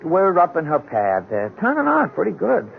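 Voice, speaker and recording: An actor playing a hapless, nervous middle-aged American drunkard in a radio drama, stammering and hesitant, talking in street slang. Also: male voice, on the high side, wildly swooping pitch, normal speed, vocal fry, exaggerated voice. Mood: defensive, rambling. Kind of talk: 1970s radio drama acting. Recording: tinny, hissy 1970s radio broadcast.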